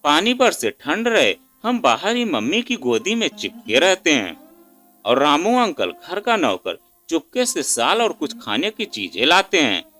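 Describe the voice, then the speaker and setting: A scared little boy from a moral story, played by a male narrator, pleads in a raised, bright voice. He sounds plaintive and tearful, with light music underneath. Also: on the high side, sing-song, measured pace, full character voice. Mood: frightened, pleading.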